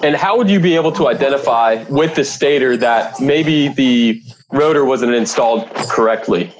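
A man's voice: medium pitch, moderate pace, a little hiss or hum, poor audio. Male podcast host interviewing. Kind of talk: conversational technical interview.

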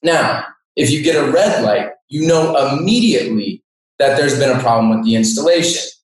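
A man's voice: medium pitch, animated, normal speed. A man giving a methodical, step-by-step technical product explanation as an expert, recorded in an echoey room. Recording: echoey room, distant microphone.

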